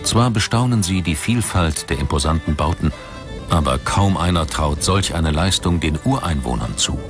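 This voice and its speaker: Deep-voiced male documentary narrator. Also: animated, moderate pace. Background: music.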